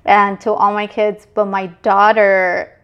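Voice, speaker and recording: A middle-aged woman from Mexico, chatting as a guest in a casual interview, relaxed, with many fillers and restarts. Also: medium pitch, slow pace, clean tone, lo-fi sound. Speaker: guest, business manager.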